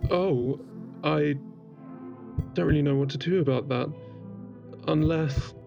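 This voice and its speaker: An actor playing a playful, loyal spaceship captain in a sci-fi audio drama, with a jokey, mock-formal manner. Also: male voice, fairly high pitch, takes it slowly, gravelly, muffled recording, over music. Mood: worried.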